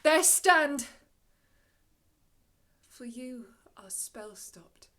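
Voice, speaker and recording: A solemn, commanding aging sorcerer played by a woman delivers a classical Shakespearean monologue. The voice sits fairly high and moves very slowly, with weighty pauses and a measured, theatrical shaping of each phrase. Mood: solemn, tender. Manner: measured, dramatic, long pauses, swings from hushed to declaiming.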